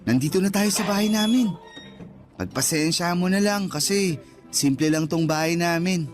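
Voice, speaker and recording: An actor in a Filipino radio drama playing a cheerful, teasing college-age son. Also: male voice, high voice, normal speed, soft background music, lightly acted. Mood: cheerful, reassuring. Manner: teasing, reassuring. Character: cheerful young college-age son introducing his girlfriend.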